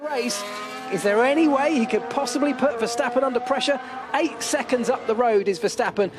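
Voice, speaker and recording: A man giving excited live motor racing commentary as the lead commentator. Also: extremely high pitch, fairly quick, background noise.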